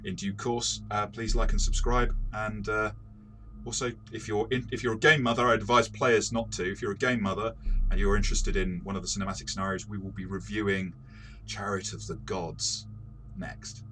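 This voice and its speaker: Low-pitched man with a bright, forceful voice. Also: little pitch movement, soft background music. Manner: halting, repeats words, often chuckles while talking. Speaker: host, game master reviewing tabletop RPGs. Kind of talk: casual review discussion.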